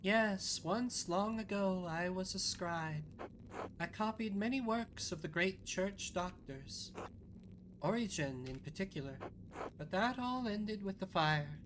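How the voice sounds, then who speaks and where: A performer voicing a devout visionary nun, a gentle, bewildered old woman who speaks in a solemn, reverent, measured way over music. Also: medium pitch, background noise, lightly acted. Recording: music under the voice.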